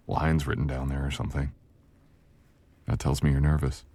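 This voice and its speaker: Man playing a very deep-voiced, confident book editor. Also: no echo, full character voice.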